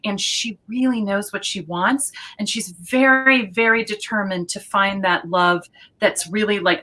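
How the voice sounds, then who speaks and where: A woman tarot reader on a video call, talking enthusiastically and flatteringly. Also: medium pitch, rough recording. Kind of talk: casual chat.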